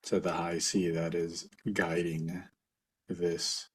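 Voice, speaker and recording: A man leading a guided meditation for a group as facilitator, speaking informally in a gentle, reassuring, unhurried way. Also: low voice, flat delivery, breathy edge.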